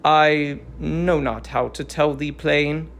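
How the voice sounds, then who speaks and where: A performer drops the voice for a troubled male herald in a Greek tragedy. He speaks low and creakily, halting and grave, reluctant to deliver cruel orders. Faint noise lies behind. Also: steady tone, a bit bright. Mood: reluctant, uneasy. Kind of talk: dramatic reading of classical Greek tragedy.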